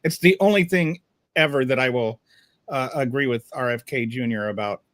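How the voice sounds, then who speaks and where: Man, host, from Louisiana, halting delivery, mid pitch, dry sound. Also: a bit bright, no echo. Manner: halting, full of fillers and restarts. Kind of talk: casual panel chat.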